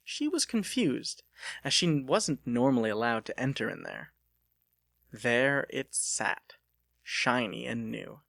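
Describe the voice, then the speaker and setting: Male audiobook narrator, mid-range pitch, dry recording, dramatic storytelling delivery. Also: huge pitch swings, takes it slowly, gravelly, a bit bright, dry recording.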